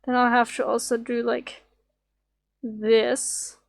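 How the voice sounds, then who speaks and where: A woman streamer with a slightly raspy voice, rambling and thinking aloud as she draws on a casual livestream. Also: fairly high pitch, level tone, slow pace, no echo. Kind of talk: casual drawing livestream chat.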